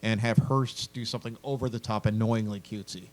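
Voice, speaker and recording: A man's voice: fairly low pitch, steady tone, fast talker, a little mellow. A man giving casual, blunt feedback on a story as a panelist, informal and given to swearing. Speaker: podcast panelist, critic. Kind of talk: casual critique discussion.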